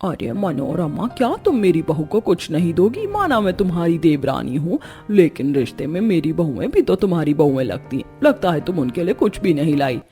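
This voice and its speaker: A woman's voice: on the low side, big pitch swings, moderate pace, few pauses, mellow. A woman voicing a boastful, condescending middle-aged mother-in-law in a cartoon story, with music behind. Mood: taunting. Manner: condescending, taunting. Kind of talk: Hindi cartoon story voice acting.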